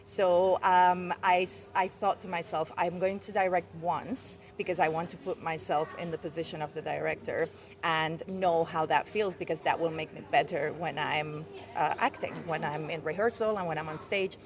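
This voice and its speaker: Reflective lower-voiced woman, Farsi native. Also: steady tone, moderate pace, background noise. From Iran. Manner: reflective, with soft fillers and light laughs. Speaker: guest poet. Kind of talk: casual interview. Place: outdoors outside a bookshop.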